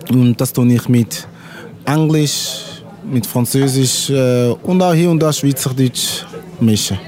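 A middle-aged man from Congo whose first language is Lingala, a musician talking in an unhurried, word-searching way in an interview at an outdoor festival. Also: mid-range voice, darkish tone. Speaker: musician guest. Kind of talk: casual interview.

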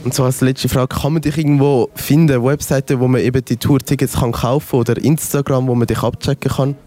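A man's voice: medium pitch, steady tone, a little fast, mellow. A man interviewing a musician at an outdoor festival, asking informal, loosely phrased questions. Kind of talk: casual interview.

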